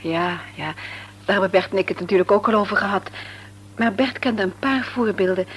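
Forthright, doubtful married woman for radio drama played by an actress: medium pitch, earnest, faintly noisy sound. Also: a little fast, lightly acted. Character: Annie, childless married woman, doubtful but candid. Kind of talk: Dutch radio play acting. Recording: slightly noisy radio play recording.

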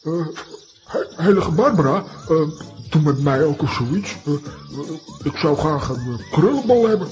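A man playing a bumbling, excitable adventurer in a children's radio play, exclamatory and hesitant. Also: on the high side, a little slow, over music, low-quality recording. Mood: sheepish, pleading.

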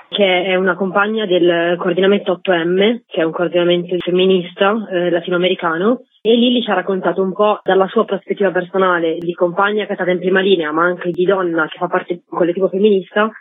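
A woman's voice: on the low side, dry recording. A woman in her twenties giving discursive, explanatory answers as a radio guest and podcast maker. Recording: dry, tinny phone line.

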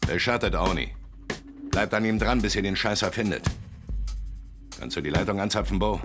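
Actor as low-voiced, gruff gangster boss. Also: male voice, moderate pace, close to an everyday voice. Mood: hard, commanding. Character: gruff, calculating male gangster boss giving orders. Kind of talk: German radio play acting. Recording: studio radio play under loud music.

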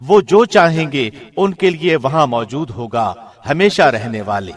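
A man reading a scripture translation as a scripted narrator, in a formal, measured radio presenter's manner. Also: fairly high pitch, animated, soft background music.

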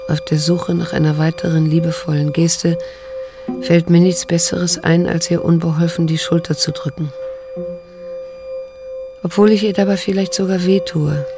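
An actress playing a restrained, wounded grown daughter in a radio drama, measured and introspective, with a creaky voice. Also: female voice, on the low side, fairly even intonation, over music, close to an everyday voice. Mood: reflective, sorrowful. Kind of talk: radio drama monologue and dialogue.

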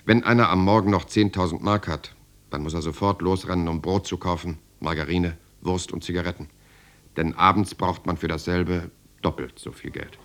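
Deep-voiced reflective narrator. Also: male voice, steady tone, moderate pace, fairly bright tone. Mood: bitter. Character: reflective male narrator recalling his restless youth. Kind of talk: dramatic first-person narration, German radio play.

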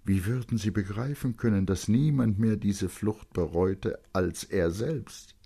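A man narrating literary fiction in a dramatic, expressive and measured storytelling style. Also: fairly low pitch, vocal fry, slightly rough.

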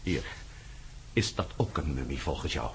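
An actor playing a bold, stubborn adventurer in a radio play, with an urgent, clipped way of talking. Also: male voice, rumbling low, animated, moderate pace, creaky, full character voice. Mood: grim, fascinated. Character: bold, stubborn male adventurer prying into gruesome secrets. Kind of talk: Dutch radio play acting. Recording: noisy old radio drama recording.

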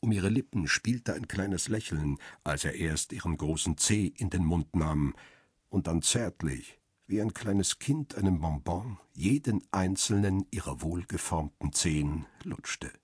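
Crime radio drama lead: guarded, cynical photographer, deep rough-edged voice, deliberate delivery. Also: male voice, animated, no echo, studio quality, exaggerated voice. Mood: cool, measured. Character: male paparazzo photographer, cool, cynical, guarded first-person narrator. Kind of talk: first-person crime radio drama narration and dialogue.

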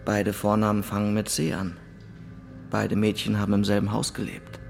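An actor playing a special investigator from the mainland in a radio drama, businesslike and pressing. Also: male voice, on the low side, monotone, moderate pace, creaky. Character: brisk, insistent male special investigator from the mainland. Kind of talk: naturalistic German radio drama dialogue.

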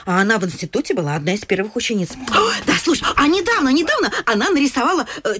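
A woman's voice: on the high side, fairly bright tone, husky, no room sound, heavily acted. An actress playing a fussy, pushy provincial mother in a radio comedy, gushing, repetitive and bossy in a folksy way. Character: fussy, pushy provincial matchmaking mother, boastful of her daughter. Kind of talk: theatrical radio comedy acting.

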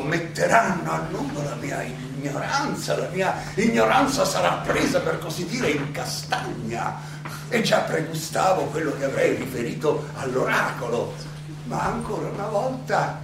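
A very echoey, distant recording of a wry philosopher pleading his case on stage. The voice is high and slow, halting for rhetorical effect as it addresses the audience. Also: heavily acted. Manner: halting, rhetorical, with dramatic pauses and repetitions. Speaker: stage actor. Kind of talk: theatrical monologue addressed to an audience. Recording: echoey hall, distant mic, clear noise.

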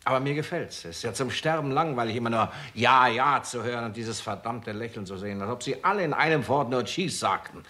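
An actor playing a bossy, shrewd Hollywood studio boss with a blustering, probing manner in a 1960s German radio crime drama. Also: male voice, mid-range voice, brisk, hardly any pauses, bright, forceful tone, close to an everyday voice. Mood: jovially blustering. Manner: blustering, probing, jovially domineering.

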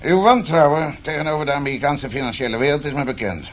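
An actor playing a secretive, persuasive financial envoy in a radio drama, speaking in a formal, deliberate way. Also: male voice, fairly high pitch, a little hiss or hum, lightly acted.

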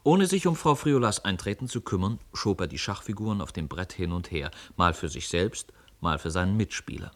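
A man narrating a literary radio play as an expressive, measured storyteller. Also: deep voice.

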